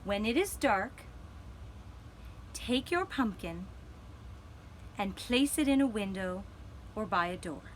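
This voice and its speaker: A woman's voice: medium pitch, expressive, slow pace, long pauses, a little hiss or hum. A woman voicing a male villager in a puppet-show story, patiently instructing his neighbours step by step. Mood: patient, instructive.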